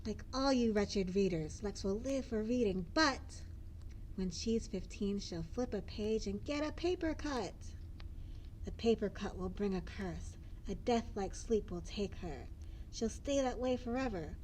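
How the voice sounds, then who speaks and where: A woman's voice: medium pitch, animated, unhurried, darkish tone, slight background noise, natural voice, poor audio. A woman voicing a furious, haughty fairy villain who schemes and shrieks in a storybook reading. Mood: indignant, spiteful.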